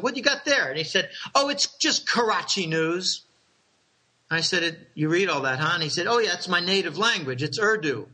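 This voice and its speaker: A man's voice: high voice, pushed and bright. A middle-aged man casually telling rambling, conspiratorial stories, chuckling and restarting his sentences.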